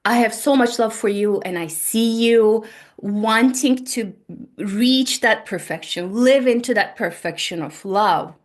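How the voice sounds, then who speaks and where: Slow, gentle woman. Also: fairly high pitch. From Turkey. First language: Turkish. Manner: gentle, earnest, repeats phrases for emphasis. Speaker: spiritual healer and podcast host. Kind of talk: spiritual monologue to listeners. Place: home. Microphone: microphone on a laptop.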